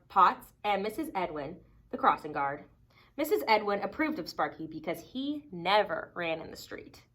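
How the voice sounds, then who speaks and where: A woman reading a children's picture book aloud expressively, with dramatic emphasis and deliberate pauses. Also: mid-range voice, a bit bright. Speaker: storybook reader.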